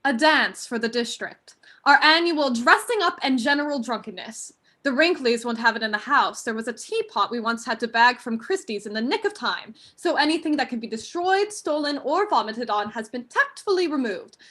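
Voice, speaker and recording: A woman's voice: fairly high pitch, animated, moderate pace, few pauses, bright, forceful tone. A young woman acting a chatty, breezy daughter of a country house in a staged play reading. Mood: chatty, playful. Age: young adult. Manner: breezy, gossipy.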